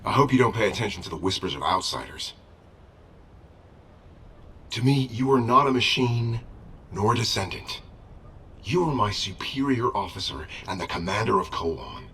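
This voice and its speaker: An actor playing a loyal, earnest military adjutant in a video game, speaking formally and respectfully. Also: male voice, fairly low pitch, lively intonation, normal speed, pauses often, sharp tone, recorded from a distance, a little hiss or hum. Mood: earnest, reassuring. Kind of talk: scripted game dialogue.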